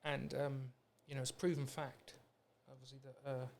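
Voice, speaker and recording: A man's voice: medium pitch, little pitch movement, moderate pace, creaky. A British man hosting a podcast in a casual, rambling, anecdotal way. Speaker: podcast host. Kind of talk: casual chat.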